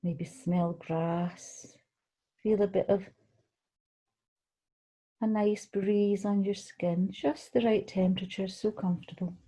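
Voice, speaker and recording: A woman guides a relaxation meditation in a soft-edged, mellow voice. Her delivery is gentle and soothing, with unhurried phrases and room left between them. The pitch sits in a middle range for a woman. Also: steady tone, slightly rough. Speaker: guided meditation leader, tai chi qigong teacher.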